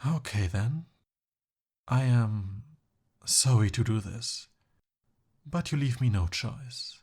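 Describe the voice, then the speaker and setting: Slow, husky young prince, acted by a man. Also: mid-range voice, animated, darkish tone. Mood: defiant bluffing. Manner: formal, courtly, hesitant flowery speech. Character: young royal prince, captive of a dragon, smooth-talking and eager. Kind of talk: ASMR audio roleplay.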